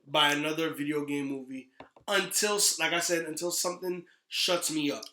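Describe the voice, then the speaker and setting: A man's voice: fairly high pitch, steady tone, normal speed, pushed and bright. A man chatting casually as a podcast guest, rambling in an emphatic, slangy way. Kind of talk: casual chat. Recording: echoey room.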